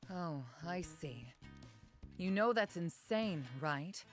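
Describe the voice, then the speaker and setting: Poised, lower-voiced young noblewoman. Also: expressive, takes it slowly, faint music behind. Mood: cool, wry. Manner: poised, wry. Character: cool, self-possessed young noblewoman, wry and resolute. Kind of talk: video game cutscene voice acting.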